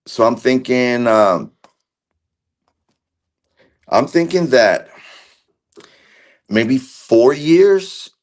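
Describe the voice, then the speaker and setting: A man of Argentine background, a guest on a video call, recalling events slowly with many fillers, restarts and pauses. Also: mid-range voice, sing-song, a little mellow. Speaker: interview guest. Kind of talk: casual recollection.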